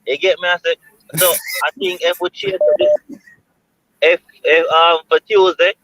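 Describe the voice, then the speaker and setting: A Jamaican man calling in to a football show, making his point in a measured way with halting restarts. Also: sits high, huge pitch swings, vocal fry, fairly bright tone, no room sound. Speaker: caller. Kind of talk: casual football talk. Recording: dull lo-fi call audio.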